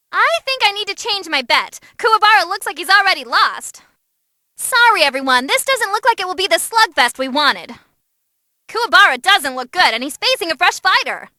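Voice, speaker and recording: Squeaky, excitable young demon girl announcer. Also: no room sound, clean studio sound, full character voice. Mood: amazed. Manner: bubbly, cheeky, dramatic. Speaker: fight commentator. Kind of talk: animated fight commentary.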